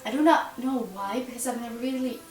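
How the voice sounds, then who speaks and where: A woman's voice: on the high side, fairly quick, a little mellow. A 21-year-old woman from the Netherlands with a Dutch accent and Dutch as her first language, chatting and rambling to camera with frequent giggles and fillers. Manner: chatty, rambling, giggly, with many likes and ums. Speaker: YouTuber answering a tag. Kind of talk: casual chat to camera. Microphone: camera mic at a distance. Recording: roomy, distant, with music behind. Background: music playing under the voice.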